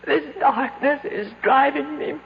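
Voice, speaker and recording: An actress playing an anxious, weary but practical trapped wife in a radio drama. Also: female voice, high-pitched, a little slow, creaky. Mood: anxious, urgent. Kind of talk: 1970s radio drama acting. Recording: tinny, noisy old radio broadcast.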